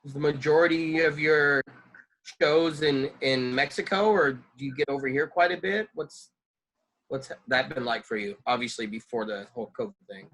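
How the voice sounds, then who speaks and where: A man co-hosting a casual podcast, asking his guests relaxed, curious questions. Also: mid-range voice, moderate pace, low-quality recording.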